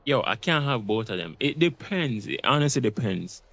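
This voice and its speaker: A man's voice: medium pitch, big pitch swings, moderate pace, vocal fry, a bit bright, a little hiss or hum. A man talking as a game streamer, casual and slangy, with some swearing. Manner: slangy, profane. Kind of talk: casual livestream chat.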